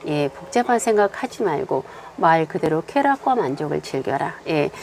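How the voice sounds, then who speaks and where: A woman with a hoarse voice from a cold, unhurried and full of filler sounds, giving a monthly tarot fortune reading. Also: medium pitch, a little mellow, a little hiss or hum. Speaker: tarot reader.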